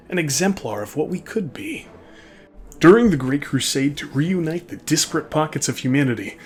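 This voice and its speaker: A man delivering comedic scripted narration in a sardonic, mock-grand manner, tossing in irreverent asides. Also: fairly high pitch. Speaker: narrator of a comedic fan lore video. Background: background music.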